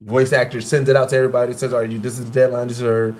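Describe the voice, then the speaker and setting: A man's voice: medium pitch, flat delivery, racing, darkish tone. Laid-back man explaining with many fillers. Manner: loose, filler-heavy explaining with "like" and "uh". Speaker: podcast co-host. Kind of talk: casual chat.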